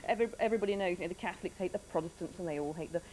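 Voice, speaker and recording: A woman's voice: lowish voice, very fast, darkish tone, slight background noise, rough recording. A female guest in a heated studio debate, talking hesitantly with many restarts.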